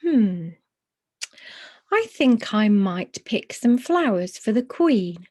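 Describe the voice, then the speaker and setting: Small storybook bear, played by a woman: polite, curious, easily indignant, very animated and unhurried. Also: medium pitch, no room sound, studio quality. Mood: hopeful. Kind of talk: children's storybook reading.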